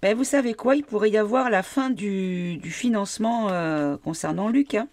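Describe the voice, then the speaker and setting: A woman's voice: medium pitch, moderate pace, darkish tone. Woman with a cold reading cards. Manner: hesitant, many fillers, questions the cards aloud. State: has a cold. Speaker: tarot card reader. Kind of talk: casual card reading to viewers.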